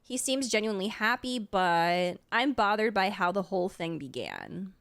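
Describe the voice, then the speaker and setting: A young woman giving casual reaction commentary as a game streamer, chatty and halting, peppering her talk with "like". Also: medium pitch, very animated, fairly bright tone, clear, resonant tone. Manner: chatty, halting, filler-heavy with "like".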